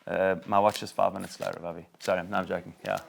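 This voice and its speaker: A middle-aged South African-born rabbi giving a talk to a group and taking questions, joking and animated, with many restarts. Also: male voice, low-pitched, fast, gravelly. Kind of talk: talk with audience Q&A. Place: room with a live audience.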